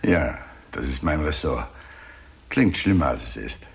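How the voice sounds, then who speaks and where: A man in a German radio drama playing a matter-of-fact chief homicide detective with a gravelly voice. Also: rumbling low, animated, measured pace, full character voice. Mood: businesslike. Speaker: police chief inspector.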